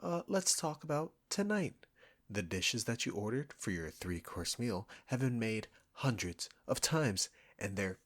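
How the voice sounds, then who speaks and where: A man playing a proud, defensive, condescending restaurant chef in a scripted roleplay spoken straight to the listener. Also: fairly low pitch. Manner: condescending, combative, sarcastic, swearing.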